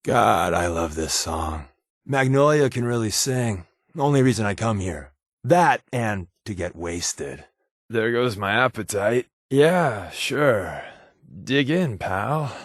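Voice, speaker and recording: An actor playing a wry, wisecracking American undercover spy companion in a video game, with a glib, sardonic and casual way of talking. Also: male voice, lowish voice, lively intonation, takes it slowly, no room sound, studio quality, heavily acted. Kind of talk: video game voice acting, conversational dialogue.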